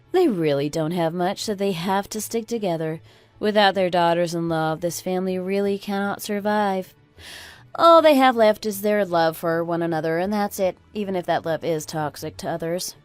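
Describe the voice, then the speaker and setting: Radio drama daughter-in-law, played by a woman: sharp-tongued, exasperated female, slightly low register, dry close sound over music. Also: normal speed, no room sound, natural voice. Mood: indignant, venting. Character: fed-up, sharp-tongued oldest daughter-in-law, a divorcing breadwinner. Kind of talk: scripted radio drama acting.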